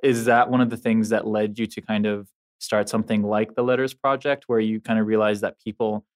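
A man's voice: lowish voice, little pitch movement. A man from the Middle East hosting a podcast, talking casually with fillers. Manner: casual, many fillers, quick agreement. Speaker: podcast host. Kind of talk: podcast conversation.

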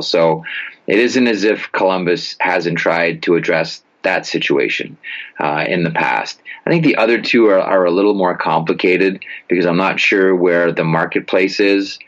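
Man, bass-leaning voice, emphatic sports chat, dramatic repeats, dull recording. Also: normal speed, a bit bright. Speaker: guest hockey commentator. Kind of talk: casual sports talk. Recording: dull lo-fi sound.

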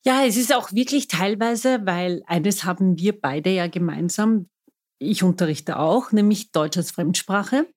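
A woman's voice: medium pitch, unhurried, a little mellow. A young woman, a podcast host and teacher, speaking in a playfully strict, teasing tone. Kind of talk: casual podcast conversation.

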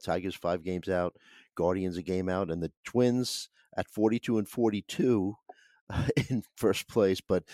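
Low, hoarse man hosting a podcast. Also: darkish tone, no echo, clean studio sound. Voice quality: raspy. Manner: laid-back, chuckling, lots of "uh" fillers. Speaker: podcast host. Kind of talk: casual sports chat.

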